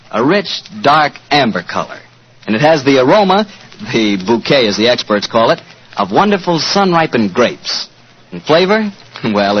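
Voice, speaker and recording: A man working as a radio announcer and sponsor's pitchman, speaking in an enthusiastic, emphatic, conversational 1940s advertising style. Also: husky. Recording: dull old 1940s radio recording.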